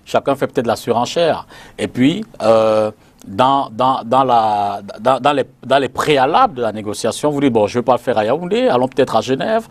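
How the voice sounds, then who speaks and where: Man, TV host, measured, hesitant fillers, medium pitch. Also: expressive, no room sound. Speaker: TV talk show host. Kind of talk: moderating a political debate. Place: TV studio.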